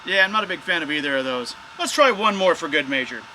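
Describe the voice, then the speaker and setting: A man's voice: high-pitched, animated, quick pace, noisy background. A man hosting a casual, hands-on product test of drone gear as a reviewer.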